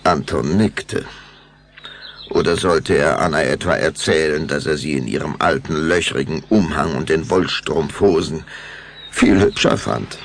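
A man narrating a German children's vampire audio drama as a storyteller, with measured, dramatic delivery. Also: rumbling low, vocal fry, a little hiss or hum, full character voice. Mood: storytelling.

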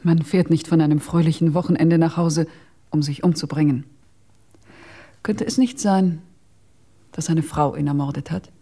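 An actress playing a guarded, insistent female witness in a German radio crime drama, insinuating and hushed. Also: deep voice, steady tone, moderate pace, pauses often, slightly rough.

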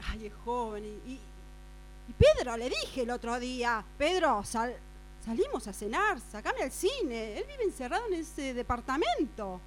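A woman acting a gossipy friend confiding secrets in a hushed, urgent way with an Argentine accent, in an improvised radio drama. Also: sits high, sing-song, measured pace. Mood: secretive, excited. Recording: mains hum noise.

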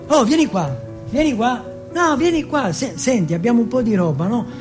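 A man voicing an excitable, streetwise teenage boy in a stage monologue, slangy, insistent and full of swearing. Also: extremely high pitch, normal speed, music in the background, voice pushed up. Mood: conspiratorial, eager. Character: excitable, foul-mouthed streetwise teenage boy from housing projects.

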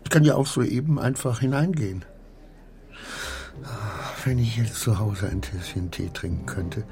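An actor in a radio play playing a flustered, proud, insecure minor clerk who rambles between pompous declarations and muttering. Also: male voice, lowish voice, measured pace, mellow. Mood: muttering, self-pitying.

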